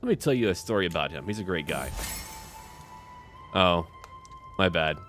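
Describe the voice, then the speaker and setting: A low, deep male voice gives casual commentary over a strategy game, with music playing behind him. He speaks in short clipped remarks, partly to himself and partly to the characters as if he were their ruler. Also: expressive, normal speed, a bit bright. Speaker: gaming video creator narrating his own playthrough.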